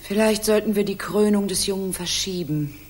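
An actress playing an imperious, anxious medieval empress in a German radio play, speaking in a commanding, formal, theatrical way. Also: female voice, mid-range voice, normal speed, slight background noise, close to an everyday voice. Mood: uneasy, grave.

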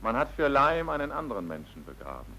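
A man's voice: medium pitch, sing-song, quick pace, bright, forceful tone, a little hiss or hum. A male actor playing a stern, insistent military police interrogator in a German drama, clipped and commanding. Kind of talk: German radio drama or film-dub acting.

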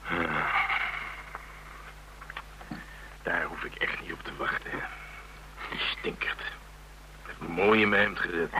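A man acting a gruff, blunt, grumbling police detective in a radio crime drama. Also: low-pitched, normal speed. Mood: sour, grumbling. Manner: blunt, grumbling, colloquial and swearing. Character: gruff, rattled Dutch police detective nicknamed Draak. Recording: noisy radio drama recording.

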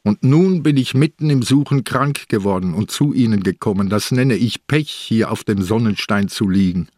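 A man's voice: lowish voice, normal speed, a little mellow, dry recording, natural voice. A man playing an ailing old police inspector in a radio drama, speaking bluntly and dryly. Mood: dry, probing. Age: older. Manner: dry, blunt, probing. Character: old ailing Bernese police inspector, dry, probing, stubborn.

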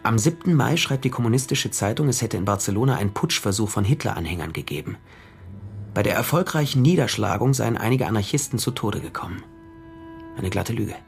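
An actor playing a dogged male private detective in a 1930s crime radio drama, explaining things in a deliberate, matter-of-fact way. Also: lowish voice, expressive, vocal fry. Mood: certain, explaining. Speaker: detective and first-person narrator. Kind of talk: German radio crime drama acting and narration. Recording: studio radio drama with music underneath.

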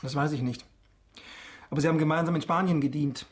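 A man's voice: on the high side, steady tone, fast talker, natural voice. An actor playing a candid young nobleman in a German radio drama, polite and conversational with a resigned air. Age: young adult. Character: candid young viscount, wellborn, reluctant bridegroom.

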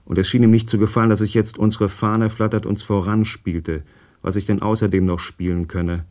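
A man reading a first-person literary memoir in a measured way, with deliberate repetitions. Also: on the low side, level tone, a little mellow.

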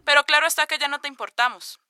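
A woman's voice: high voice, steady tone, fast, pushed and bright, no echo, clean studio sound. A woman acting in a radio drama as a cold, reproachful mother, sarcastic and accusatory. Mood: bitter, cold. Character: bitter, reproachful mother, guilt-tripping and cold.

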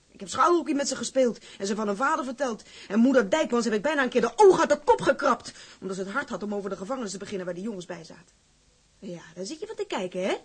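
A woman's voice: fairly high pitch, slightly rough. An actress playing a lovestruck, outspoken young woman from a seaside town in a drama, with blunt, insistent, girlishly colloquial speech. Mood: indignant, fervent. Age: young adult. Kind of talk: dramatized dialogue acting.

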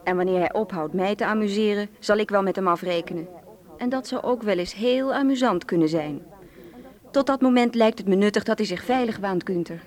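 A woman's voice: medium pitch, a little fast, darkish tone, close to an everyday voice. A woman playing a smuggler boss's amused, flirtatious and ruthless sister in a radio drama, with a cool, teasing delivery. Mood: sly amusement.

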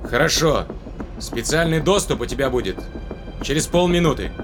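A man's voice: on the high side, animated, moderate pace, music in the background, close to an everyday voice. An actor playing a self-assured male spaceship commander in an audio drama, speaking in an offhand, matter-of-fact way. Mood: cool, conceding.

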